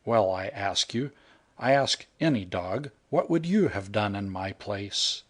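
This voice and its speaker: A man narrating a humorous short story in the first person, wry and even. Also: on the low side, a little slow. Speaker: audiobook narrator. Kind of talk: scripted narration.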